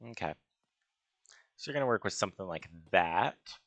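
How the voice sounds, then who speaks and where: A man with a gravelly voice giving casual gaming commentary as he builds, musing and hesitating as he talks to himself. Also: low-pitched, expressive, moderate pace, fairly bright tone, no echo. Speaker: gaming video host. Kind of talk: casual Minecraft building commentary, thinking aloud.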